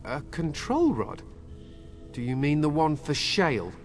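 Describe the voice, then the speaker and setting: An actor voicing an earnest, anxious village father with a bitter streak and a halting, pleading manner, in a fantasy video game. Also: a little high, big pitch swings, faint music behind. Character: grieving, anxious village man, bitter son of a dead mage. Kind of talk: dramatic video game acting.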